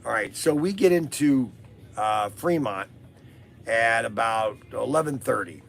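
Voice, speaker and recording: A man hosting a casual live podcast, hoarse from losing his voice, rambling through a story with many fillers and restarts. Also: medium pitch, lively intonation, unhurried, a little hiss or hum. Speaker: host of a toy business live podcast.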